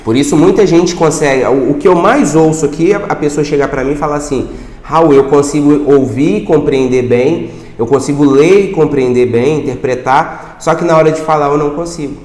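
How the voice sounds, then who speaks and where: A man teaches in a relaxed, chatty way, often repeating a word while he reaches for the next phrase. His voice sits a little high for a man. The room is echoey, with a long reverb trailing behind him. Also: a little fast. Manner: conversational, repeats words while searching for phrasing. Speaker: language teacher. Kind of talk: casual video lesson.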